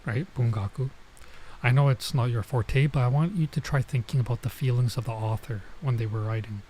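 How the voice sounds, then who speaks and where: A man's voice: medium pitch, a little fast, warm tone, slight background noise, lightly acted, poor audio. A man voicing a stern, exasperated male student who tutors, in a visual novel. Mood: instructive.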